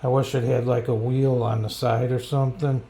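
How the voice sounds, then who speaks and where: A man's voice: mid-range voice, flat delivery, moderate pace, dark tone, reverberant, slight background noise. A man giving a casual hands-on product review, rambling and thinking aloud as he grumbles about drawbacks. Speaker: radio reviewer.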